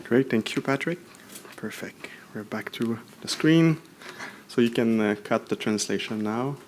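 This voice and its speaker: A man with a medium-pitched voice moderates a forum session. He is informal, using short acknowledgements and fillers, over faint background noise with some clicks. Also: a little slow.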